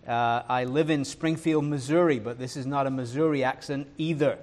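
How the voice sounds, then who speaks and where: Earnest preacher with a Leeds accent. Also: male voice, medium pitch, fairly even intonation, a little mellow. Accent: Leeds English, touched by Irish and American. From northern England. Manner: earnest, fills pauses with "uh" and "um"; reads scripture slowly with emphasis. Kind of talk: sermon with scripture reading.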